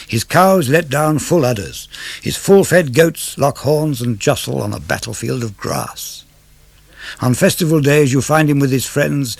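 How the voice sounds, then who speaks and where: A man reads classical poetry aloud for radio. His phrasing is measured and declamatory, with a gravelly edge to the voice. The sound is dry and intimate. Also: medium pitch, no room sound. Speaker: poetry reader. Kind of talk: dramatic verse reading of classical poetry for radio.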